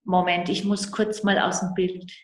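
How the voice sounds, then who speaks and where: A woman's voice: fairly low pitch, level tone, normal speed. An older woman, talking personally as a guest counsellor on a video call. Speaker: guest, end-of-life and grief counsellor. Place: small room indoors. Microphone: computer mic on a video call. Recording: roomy video call sound.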